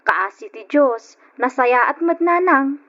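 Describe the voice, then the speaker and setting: A woman's voice: sits high, animated, slow pace, a bit bright, dull audio, full character voice. A woman with an Ilocano accent playing a dutiful, devout, hardworking daughter in an old radio drama. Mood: earnest. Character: dutiful, devout, hardworking daughter supporting her poor family.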